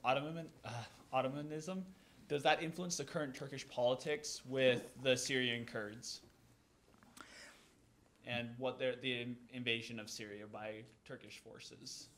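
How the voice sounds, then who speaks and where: A man's voice: mid-range voice, steady tone, measured pace, a bit bright. A young man, a student, asks a question from a floor microphone in a lecture room, hesitating and restarting as he goes. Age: young adult. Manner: hesitant, restarts while asking. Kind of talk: audience question.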